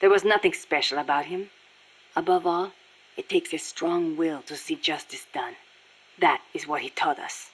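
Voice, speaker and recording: A fairly low-pitched female revolutionary with a Nicaraguan Spanish accent. She is a video game guerrilla, solemn and earnest, carrying a bitter passion for her cause. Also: normal speed, slightly rough, low-quality recording. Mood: grave. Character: passionate, embittered young Nicaraguan Sandinista guerrilla woman. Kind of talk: scripted video game voice acting.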